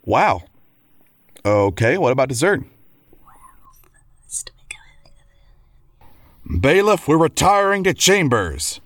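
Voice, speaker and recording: An actor playing a devoted, argumentative lawyer husband in an audio drama, with wry courtroom-style banter. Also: male voice, on the high side, sing-song, unhurried, a bit bright. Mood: playful.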